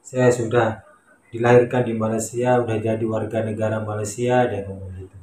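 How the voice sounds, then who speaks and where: A man's voice: on the low side, little pitch movement, a little fast, a little mellow. A man with an Indonesian accent chatting casually to viewers in an emphatic, colloquial, repetitive way. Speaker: vlogger, Indonesian migrant worker in Malaysia. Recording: echoey room with faint noise.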